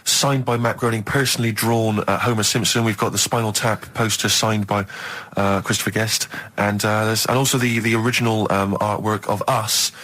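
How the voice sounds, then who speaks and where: A man's voice: fairly low pitch, fairly even intonation, normal speed, few pauses, a bit bright, low-quality recording. An English man co-hosting a radio show with casual, teasing comic banter and mock incredulity.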